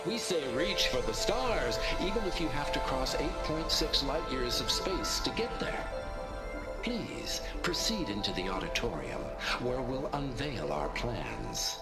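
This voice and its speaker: An actor playing a polished corporate spokesman in a video game, delivering a grandiose, deliberate promotional presentation. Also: mid-range voice, big pitch swings. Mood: smooth, welcoming. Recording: echoey presentation over loud music.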